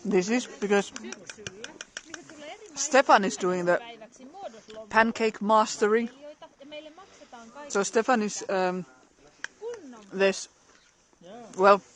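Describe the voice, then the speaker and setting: A woman's voice: mid-range voice, fairly even intonation, extremely slow, long pauses, fairly bright tone. A middle-aged woman live-streaming outdoors in a snowy forest, chatting casually with viewers and laughing often.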